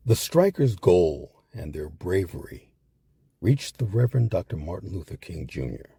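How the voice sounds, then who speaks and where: Male narrator for history series. Very husky, low, animated intonation, measured dramatic pauses. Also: no room sound, studio quality. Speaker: host and narrator.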